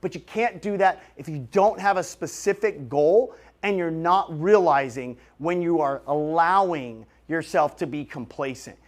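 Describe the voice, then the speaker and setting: A man's voice: a little high, unhurried, hardly any pauses. A man in his late thirties giving an emphatic, direct motivational monologue to his audience, coaching them like a business vlogger. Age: late 30s.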